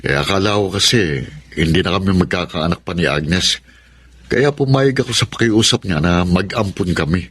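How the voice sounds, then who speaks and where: An actor in a radio drama playing a husky-voiced father who plays favourites among his children. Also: deep voice, normal speed, fairly bright tone. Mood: defensive. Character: father doting on one son, cold to the other. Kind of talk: Tagalog radio drama acting.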